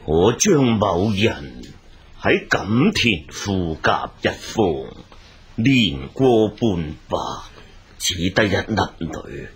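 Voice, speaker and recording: A rich, troubled father in his fifties in a radio play, speaking with a Hong Kong Cantonese accent. His voice is lowish and very slow. He sighs and hesitates, heavy with worry. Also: very animated, breathy edge, soft background music, heavily acted. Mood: anxious, grieving. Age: 50s. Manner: sighing, hesitant. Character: worried wealthy father in his fifties, sighing, anxious. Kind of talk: radio drama acting.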